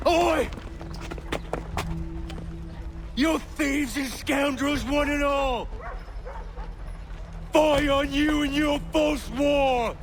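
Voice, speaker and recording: An actor playing a defensive eighteenth-century soldier, formal and earnest, in a dramatic video game. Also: male voice, very high-pitched, slow pace, exaggerated voice. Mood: indignant. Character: defensive, indignant 18th-century soldier accused of desertion. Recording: game dialogue under faint music.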